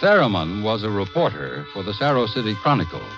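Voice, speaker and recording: A male narrator delivers scripted radio drama in a measured, literary style. His mid-pitched voice moves with animated inflection, over music on a muffled 1950s broadcast recording.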